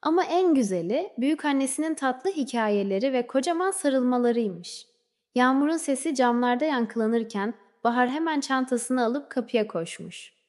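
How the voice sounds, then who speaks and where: A woman narrating a scripted children's fairy tale in a measured storybook way, letting phrases trail off softly. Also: sits high, lively intonation. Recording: long reverberant hall-like echo.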